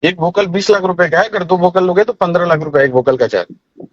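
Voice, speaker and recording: Fast, high-pitched man arguing by phone. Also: dry recording. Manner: argumentative, insistent, cuts in. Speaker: singer's manager negotiating fees. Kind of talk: heated phone argument. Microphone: phone call. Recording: dull lo-fi recorded phone call.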